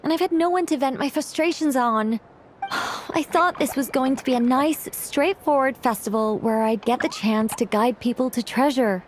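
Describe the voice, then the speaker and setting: A woman's voice: high-pitched, moderate pace, slight background noise, close to an everyday voice. A woman voicing a proud, needy, whimsical ancient mage in an anime game, theatrical, chatty and self-conscious. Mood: exasperated. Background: music.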